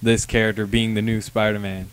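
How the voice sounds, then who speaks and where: Young guy cohost, smooth clear voice, thinks out loud, dry close sound. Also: fairly low pitch, flat delivery, fairly quick, no echo. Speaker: co-host. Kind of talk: casual chat. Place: small indoor studio with backdrop. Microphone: handheld mic.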